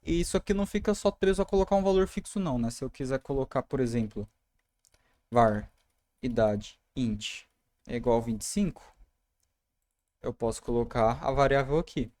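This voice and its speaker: Casual man with a mellow Brazilian accent. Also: medium pitch, normal speed. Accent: Brazilian Portuguese. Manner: casual, talks through code while typing. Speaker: programming tutor. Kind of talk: screen-recorded coding tutorial.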